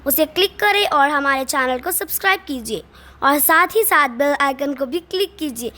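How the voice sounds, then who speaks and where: Female channel announcer, high register, crisp forceful brightness, scripted delivery. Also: expressive, moderate pace.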